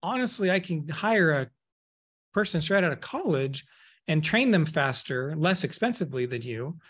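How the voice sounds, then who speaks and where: A man's voice: fairly high pitch, moderate pace, slightly rough. A man in his thirties, an accounting firm owner, speaking candidly and emphatically as a guest on a podcast, recorded over a video call in an office. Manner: candid, emphatic, speeds up when animated. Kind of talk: casual podcast interview. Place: office room. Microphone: video call webcam.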